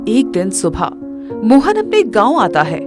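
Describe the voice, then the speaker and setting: A woman tells a cartoon moral story in an expressive storytelling voice. Her pitch rises and falls a great deal as she builds suspense. Background music is clearly heard under her. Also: unhurried. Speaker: narrator. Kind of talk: storybook narration.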